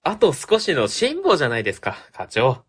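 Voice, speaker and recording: A man's voice: on the high side, huge pitch swings, moderate pace, breathy edge, exaggerated voice. A man playing an earnest, overly formal junior civil servant in an amateur radio drama, speaking in stiffly polite official phrases. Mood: polite, placating. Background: background music.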